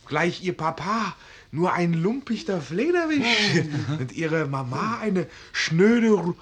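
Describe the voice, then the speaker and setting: An actor playing an excitable, dreamy young student with theatrical, declaiming delivery in a German radio play. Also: male voice, high-pitched, slow pace, breathy edge, heavily acted. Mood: drunken, exalted. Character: excitable, tipsy dreamy young male student, earnest and poetic.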